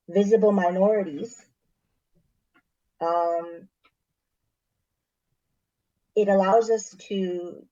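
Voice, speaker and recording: A woman from Canada, a missionary, answering interview questions in a measured, thoughtful way with frequent pauses. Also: mid-range voice, warm tone. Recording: dull lo-fi recording.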